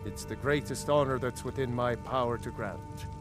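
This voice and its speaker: A man's voice: medium pitch, normal speed, over music, close to an everyday voice. A man playing a dignified city ruler in a fantasy game, speaking in a formal, reflective, stately manner. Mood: formal, proud. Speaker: voice actor in a fantasy video game.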